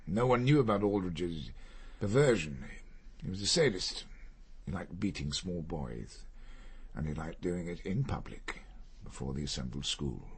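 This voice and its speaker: An actor playing a reserved middle-aged barrister in a radio crime drama, speaking formally and gravely. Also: male voice, low-pitched, expressive, measured pace, full character voice. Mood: grave, bitter.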